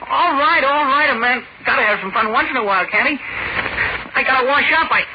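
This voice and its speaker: An actor playing a hungover, irritable businessman, muttering haltingly and flaring into exasperation, in 1940s radio style. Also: extremely high pitch. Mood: groggy, muttering. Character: hungover, irritable businessman with a dangerous power, rattled. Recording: noisy, muffled old radio broadcast.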